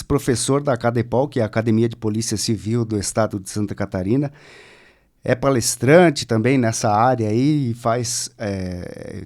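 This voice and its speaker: Joking Brazilian podcast co-host. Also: male voice, mid-range voice, darkish tone. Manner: loose, filler-heavy, jokey. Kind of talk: casual podcast chat.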